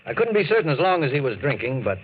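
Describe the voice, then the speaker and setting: A dull, slightly noisy 1940s radio recording of a family doctor. He sounds grave and measured, placating with widely varied intonation. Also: fairly high pitch, big pitch swings. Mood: grave, sympathetic. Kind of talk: 1940s radio drama acting.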